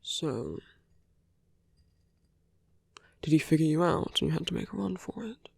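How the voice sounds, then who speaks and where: A seductive, smug villain acted out by an ASMR performer speaks close and dry, with plenty of gravelly fry. The delivery is intimate and teasing, drifting between a breathy murmur and whispers, as in a romantic audio roleplay. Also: mid-range voice, lively intonation, brisk, dry recording, exaggerated voice. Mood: sly, probing. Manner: intimate, teasing, drawling, often dropping to whispers.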